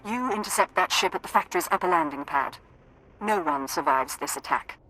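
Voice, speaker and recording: A woman's voice: mid-range voice, big pitch swings, brisk, vocal fry, bright, forceful tone, exaggerated voice, low-quality recording. A woman playing a ruthless female military commander in a video game, giving clipped, menacing orders. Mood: ruthless, urgent. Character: ruthless female Sith commander directing a war. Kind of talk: dramatic video game voice acting.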